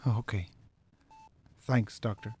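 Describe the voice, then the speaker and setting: An actor playing a farmer's worried, blunt younger brother with an Irish accent in a naturalistic radio drama. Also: male voice, on the low side, fairly even intonation, unhurried, mellow, husky. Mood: worried, shaken.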